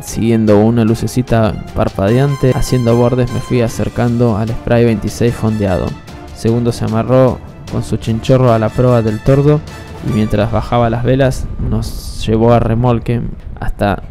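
Argentine guy narrating his sailing trip, pretty flat delivery, music playing under him. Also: mid-range voice. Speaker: sailor and video narrator. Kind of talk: scripted travel narration.

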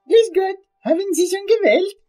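Very high-pitched eager waitress. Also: female voice, animated, normal speed, warm tone, soft background music. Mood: eager, sing-song. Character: eager, shrill restaurant waitress. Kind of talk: crime radio play dialogue.

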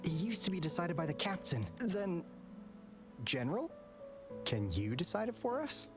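Earnest encouraging teammate. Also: female voice, on the low side, big pitch swings, normal speed. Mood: thoughtful. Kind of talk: game voice acting. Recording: game dialogue with music underneath.

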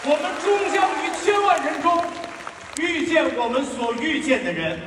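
A man's voice: extremely high pitch, very animated, moderate pace, very echoey, big-room sound, recorded from a distance, a crowd in the background, background noise. A man on a theatre stage declaiming a line, filmed by phone from the audience. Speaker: musical theatre performer on stage. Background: cheering theatre audience.

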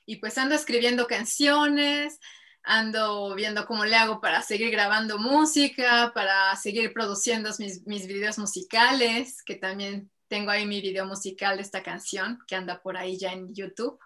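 A woman's voice: mid-range voice, fairly even intonation, moderate pace, bright, forceful tone. A Mexican woman in her thirties, a singer-songwriter answering interview questions casually over a video call from home, with frequent fillers and laughs. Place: home room with bookshelves and string lights. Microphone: video call webcam.

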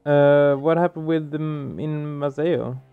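A man giving casual let's play commentary, halting as he searches for words. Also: fairly high pitch, steady tone, smooth. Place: small room at home, indoors. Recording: dull muffled sound, music behind. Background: game music.

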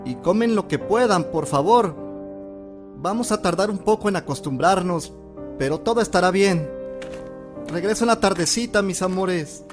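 Man acting a grieving, higher-voiced Mexican father. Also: lively intonation, moderate pace, over music. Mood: tender, reassuring. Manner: plaintive, emotional, hesitant. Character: grieving, bewildered widowed father of young children. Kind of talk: audio drama acting.

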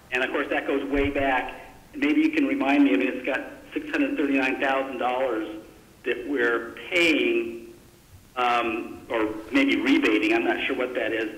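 A male council member with a mid-pitched voice works through budget questions in an echoey meeting room. He is polite and tentative, often breaking off and starting again. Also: fairly bright tone. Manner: hesitant, polite, self-interrupting. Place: indoor council chamber.

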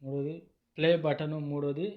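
A man gives an informal product explainer in a deliberate, repetitive way, phrase by phrase. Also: a little high, level tone. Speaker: YouTube product reviewer. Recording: dull lo-fi sound.

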